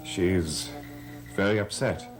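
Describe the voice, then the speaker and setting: A very deep-voiced, controlled man in a gothic soap opera. He speaks in a measured, low-key way as the unyielding master of the house, over faint music. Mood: cool, firm.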